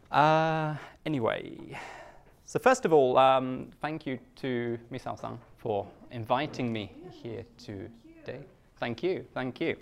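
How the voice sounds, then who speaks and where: Man, British accent, slow pace, simple English, self-deprecating jokes, live talk. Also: medium pitch, fairly bright tone. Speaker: guest speaker. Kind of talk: live talk to a Japanese audience.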